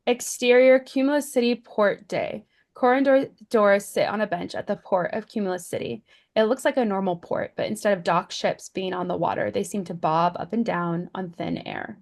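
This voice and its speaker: A woman's voice: mid-range voice, steady tone, normal speed, darkish tone. A woman reading stage directions as scripted narration at a screenplay table read.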